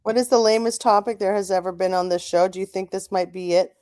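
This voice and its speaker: Radio co-host woman, short dry replies, mellow dark voice. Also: medium pitch, fairly even intonation, quick pace, no echo. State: in back pain. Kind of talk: casual on-air chat.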